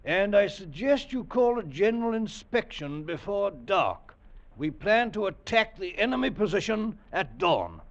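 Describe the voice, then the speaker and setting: A brisk older general, clipped and commanding. The voice is gravelly and a bit raised. Dull old-radio sound with music underneath. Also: male voice, sits high, very animated, slight background noise, exaggerated voice. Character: older British army general, brisk and irritable. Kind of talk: 1940s radio acting.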